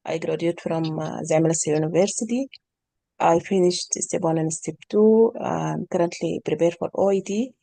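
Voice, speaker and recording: A woman from Sudan introduces herself in plain, simple sentences on a video call. Her voice is low and gravelly with a mellow tone, and the sound is dry. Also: normal speed, dry recording. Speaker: meeting participant.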